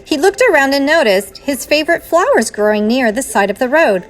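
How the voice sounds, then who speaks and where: A woman's voice: high-pitched, animated, fairly quick. A woman reading a children's storybook aloud in the style of a teacher.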